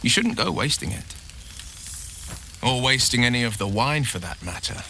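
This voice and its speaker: An actor playing a loyal, noble-minded adventurer with wry humour and an earnest storytelling manner, in a video game. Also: male voice, medium pitch, expressive, normal speed, gravelly, pushed and bright, slight background noise. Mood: playful. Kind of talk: video game dialogue acting.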